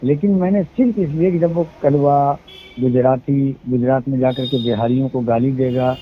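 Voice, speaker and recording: A man's voice: medium pitch, moderate pace, a little hiss or hum. A man, explaining himself at length on a phone call and citing religious sayings to defend his actions. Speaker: event organizer on a phone call. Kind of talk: heated phone conversation. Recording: tinny phone call recording.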